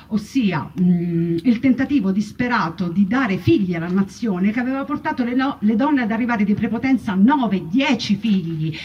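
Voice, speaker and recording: Distant, muffled woman storyteller, mellow voice. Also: medium pitch, breathy edge. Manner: emphatic, rhetorical repetition with wry irony. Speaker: storyteller, monologue performer. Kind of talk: theatrical narrative monologue on wartime history. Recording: muffled, distant mic with background noise.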